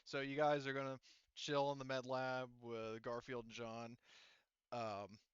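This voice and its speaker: A man improvising as game master of a tabletop roleplaying game, talking conversationally in a halting way. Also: mid-range voice, level tone, smooth, dry recording, muffled recording.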